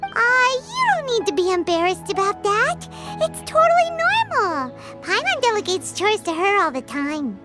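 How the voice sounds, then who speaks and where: Casting: tiny chirpy fairy sidekick girl, extremely high squeaky voice, boastful, exclamatory, video game, music behind. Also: very animated, moderate pace. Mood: reassuring. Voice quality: squeaky, childlike. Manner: exclamatory, refers to self in third person. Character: small excitable childlike fairy companion girl, chirpy and boastful. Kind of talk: video game character dialogue.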